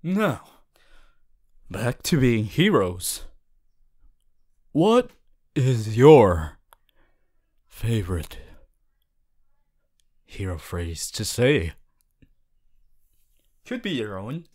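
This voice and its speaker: Boisterous young male hero-in-training played by a man for roleplay audio: slow delivery, very animated pitch, theatrical and cheery. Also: full character voice. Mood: earnest, upbeat. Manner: halting, theatrical, breaks into booming heroic declarations. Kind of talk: ASMR audio roleplay to a listener.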